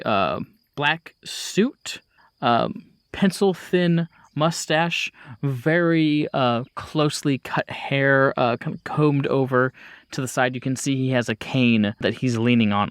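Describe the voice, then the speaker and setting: A man's voice: mid-range voice, a bit bright, clear, resonant tone, no echo. Casual man narrating a tabletop game, dry sound. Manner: casual, halting, descriptive. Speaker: game master.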